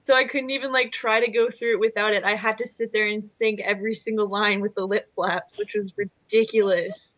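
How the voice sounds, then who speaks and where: A woman's voice: medium pitch, fairly even intonation, fairly quick, few pauses, no room sound, highs cut off. A woman who does amateur voice acting, talking casually on a commentary track. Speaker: amateur voice actor.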